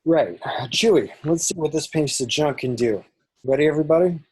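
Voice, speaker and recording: A man acts out a cocky space smuggler pilot in a film script read. The husky voice swings widely with nervy, wisecracking bravado. The sound is close and dry. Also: a little high, expressive, normal speed, a little mellow, no room sound, poor audio. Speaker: actor in an online table read. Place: remote video call, indoors.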